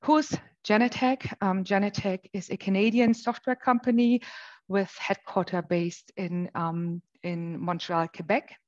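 A woman from Germany with a German accent, giving a webinar presentation in a measured way with frequent pauses. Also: mid-range voice, fairly even intonation, darkish tone, highs cut off. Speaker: marketing manager presenting. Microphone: webcam on a video call.

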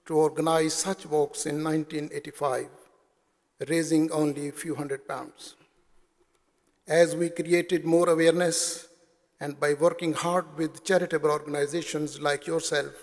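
A middle-aged man speaking as a charity chairman at a podium in a large hall, steady and formal. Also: fairly high pitch. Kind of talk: formal speech. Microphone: podium microphone. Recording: long hall reverb.

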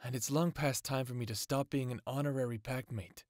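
A man's voice: mid-range voice, fairly even intonation, a little fast, no echo, lightly acted. Man playing an earnest werewolf, dry close sound. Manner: sincere, earnest. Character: earnest lone male werewolf seeking family. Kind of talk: audio drama acting.

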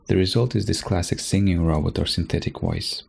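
A man narrating a software tutorial from a script, as a presenter explaining step by step. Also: deep voice, brisk, gravelly.

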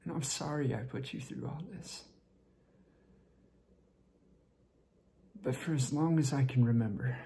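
A man performing a dramatic monologue as a tipsy, lovesick young man confessing to a friend, halting and repetitive, with a creaky voice that often drops toward a whisper. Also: mid-range voice, warm tone, slight background noise, close to an everyday voice. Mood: pleading, hesitant. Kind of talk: dramatic stage monologue rehearsal.

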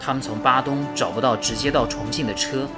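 A man playing a calm, steady wartime radio engineer who reassures others in a radio drama. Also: medium pitch, fairly quick, over loud music, lightly acted. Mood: reassuring.

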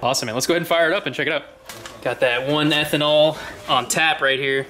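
A man in his thirties hosting a car video, talking casually and excitedly with frequent laughs and hyped slang, in a garage and inside a loud race car. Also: fairly high pitch, fast talker. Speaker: automotive channel host. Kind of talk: casual car vlog chat. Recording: loud engine noise behind. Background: car engine and road noise.

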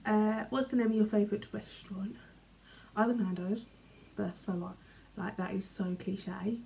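Young British woman for a casual bedroom vlog, warm and mellow, rambling, trailing off softly, faint room hum. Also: medium pitch, little pitch movement, normal speed, gravelly, low-quality recording. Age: young adult. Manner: chatty, filler-heavy, often trails off quietly. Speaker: vlogger. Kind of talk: casual chat, reading questions aloud. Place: small bedroom, indoors. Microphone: camera in front, medium framing.

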